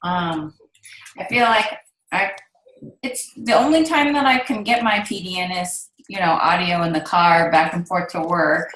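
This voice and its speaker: A woman chats in a slow, unhurried way, her voice a little low and soft. The sound is roomy and echoey, with a faint hiss behind it. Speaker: group member. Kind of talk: casual group chat.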